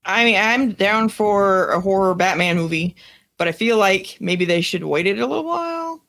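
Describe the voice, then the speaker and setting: Easygoing woman podcast co-host, medium pitch, casual chatty, dry recording. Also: lively intonation, moderate pace, vocal fry, fairly bright tone, dry recording. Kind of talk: casual pop culture chat.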